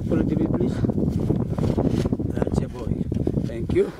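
A man's voice: fairly high pitch, unhurried. Teasing older Greek-accented man. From Greece. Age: 70s. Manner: broken English, teasing, joking. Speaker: opal miner. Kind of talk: casual chat. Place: outdoors at an opal mine. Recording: loud outdoor noise.

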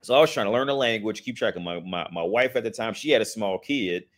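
A man's voice: on the low side, expressive, fast. A man in his thirties, talking in a rambling, casual monologue, swearing often and restarting his sentences. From United States. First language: English.

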